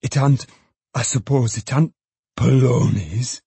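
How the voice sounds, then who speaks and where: A man's voice: medium pitch, slow, vocal fry, mellow, breathy edge, no echo, heavily acted. A man voicing a poor, good-humoured old father in a storybook, musing and exclaiming in a folksy way. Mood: musing, savouring.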